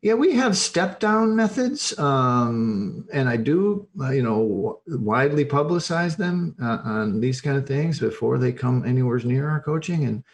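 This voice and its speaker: An older man speaks as an interview guest in a mid-pitched voice. He explains things like a coach, plainly and emphatically, driving points home in short bursts. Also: lively intonation, a little slow, few pauses.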